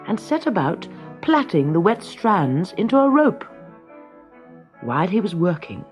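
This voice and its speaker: Children's audiobook narrator: dramatic, expressive, slow-paced, music bed. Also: mid-range voice. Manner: dramatic, measured. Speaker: storyteller. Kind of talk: scripted children's story narration.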